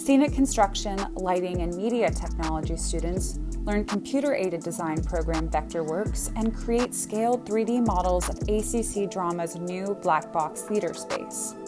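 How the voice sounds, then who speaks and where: A woman with a fairly low voice reads scripted promotional narration. Her delivery is polished and informational, and music plays clearly behind her. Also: moderate pace, hardly any pauses.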